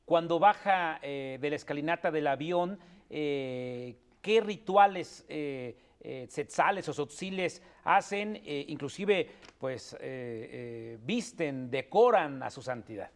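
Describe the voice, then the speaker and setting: A man's voice: on the high side, animated, slow pace. A man hosting a radio talk show, framing questions with many hesitant fillers. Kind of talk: hosting a panel and phone interview.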